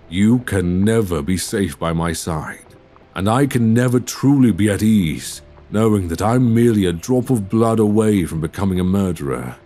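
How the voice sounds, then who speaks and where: A man playing a tormented, courtly young Victorian vampire in an ASMR roleplay, speaking tenderly and formally, close to a binaural microphone. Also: warm tone. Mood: sorrowful, regretful, then anguished. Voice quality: very deep, breathy, husky. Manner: formal, old-fashioned, measured phrasing. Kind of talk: ASMR roleplay audio drama acting.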